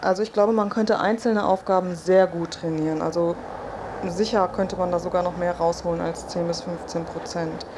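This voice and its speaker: Low-pitched woman talking, noisy recording. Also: fairly even intonation, normal speed. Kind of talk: casual conversation about taking tests. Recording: clearly noisy location recording. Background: ambient noise.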